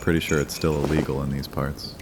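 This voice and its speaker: A man's voice: very deep voice, level tone, fairly quick, noisy background. An actor playing a wry, unruffled male vampire clan leader with dry, sardonic banter in an audio drama. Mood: businesslike.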